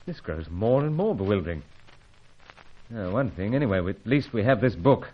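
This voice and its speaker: Plucky British secret agent, animated and husky. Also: male voice, on the low side, moderate pace. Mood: astonished, intrigued. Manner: hearty, clipped gentlemanly exclamations. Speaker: lead character and narrator. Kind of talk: old-fashioned radio serial acting. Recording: dull, band-limited old radio recording.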